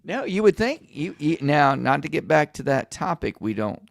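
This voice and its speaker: A man's voice: a little high, big pitch swings, normal speed, vocal fry, no echo, studio quality. A man hosting a podcast, asking leading questions in a chatty way and laughing easily. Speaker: podcast host. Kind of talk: casual chat.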